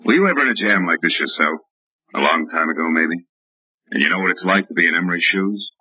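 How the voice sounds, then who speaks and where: An actor playing a tough, wisecracking private detective in a 1940s radio drama, with wry, slangy delivery. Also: male voice, low-pitched, quick pace, husky, no room sound. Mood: businesslike. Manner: wry, slangy, hard-boiled. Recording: muffled old radio recording with music.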